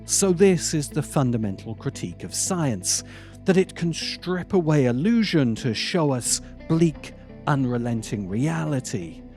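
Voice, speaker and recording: A man narrating a scripted video essay as its host, speaking deliberately with dramatic pauses and emphasis. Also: a little high, sing-song, darkish tone, soft background music. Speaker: host of a film commentary channel.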